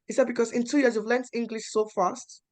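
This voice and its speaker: A young adult woman from Nigeria with a Nigerian accent, telling personal stories at a talk in an animated way and acting out the dialogue. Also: a little high, a little fast. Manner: animated, acts out quoted dialogue with emphatic repetition. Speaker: panel speaker.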